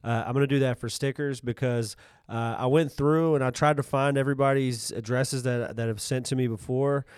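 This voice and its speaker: A man's voice: mid-range voice, fairly even intonation, brisk, few pauses, smooth, no echo, clean studio sound. A man co-hosting a casual podcast, talking in a rambling way and rephrasing himself as he goes. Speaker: podcast co-host.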